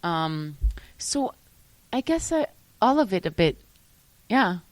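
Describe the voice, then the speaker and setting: A young woman from Switzerland talking as a musician guest in a casual interview, animated, often trailing off and restarting her sentences. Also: mid-range voice, slow pace, no echo, rough recording. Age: young adult.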